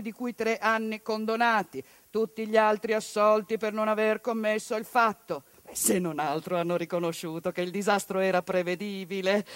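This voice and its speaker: A woman's voice: fairly high pitch, no room sound. Woman in a bitterly ironic stage monologue. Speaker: stage performer. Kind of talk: theatre monologue on radio.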